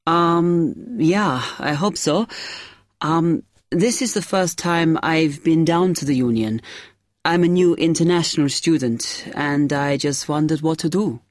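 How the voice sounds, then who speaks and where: A woman's voice: deep voice, measured pace, no echo. A woman playing a polite, hesitant new postgraduate student from abroad in an acted everyday dialogue, often questioning. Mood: polite, unsure. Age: young adult.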